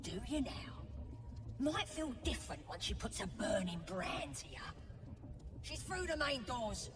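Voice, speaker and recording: A brash goblin sentry from a fantasy video game, British and slangy. The voice is fairly high and very slow in pace, with a gruff, mocking manner. It sounds a little off the mic, over music. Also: female voice, sing-song, recorded from a distance. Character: brash, crude female goblin camp guard.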